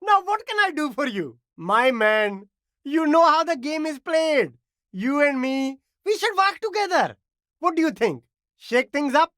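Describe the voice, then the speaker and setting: Casting: high-voiced game street hustler, slick, eager, swooping delivery, flattering slangy patter, dry close sound. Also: a little slow, no room sound, heavily acted. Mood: sly, ingratiating. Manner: salesman's patter, flattering, laid-back slang. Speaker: street vendor character in a video game. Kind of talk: video game character dialogue.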